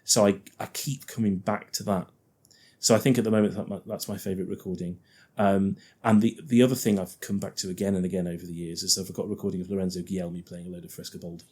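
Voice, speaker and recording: A man with a Liverpool accent talks casually as a podcast guest, his low voice slightly husky. He laughs often and thinks out loud, restarting sentences as he goes, with plenty of lift when something excites him. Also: fast talker. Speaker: guest organist.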